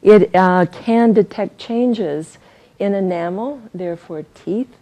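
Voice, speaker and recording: An older woman presenting research to an audience in a conference room, soft-spoken and using fillers. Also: mid-range voice, takes it slowly, poor audio. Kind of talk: scientific talk at a reception.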